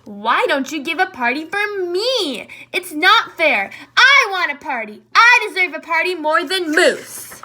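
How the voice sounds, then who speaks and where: A woman voicing a jealous male jackal who whines and complains loudly in a storybook reading. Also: a little high, animated, unhurried, hardly any pauses. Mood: indignant.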